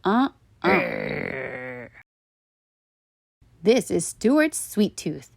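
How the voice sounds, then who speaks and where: A woman storyteller narrating a children's picture book in an expressive, deliberate way. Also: on the low side, gravelly, no room sound, studio quality. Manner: animated, deliberate. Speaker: storyteller, host of a children's read-aloud show.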